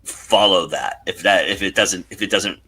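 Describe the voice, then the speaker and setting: A man's voice: low-pitched, moderate pace, bright, forceful tone, slightly rough, rough recording. A man hosting a casual interview, feeling his way through his wording. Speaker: interview host.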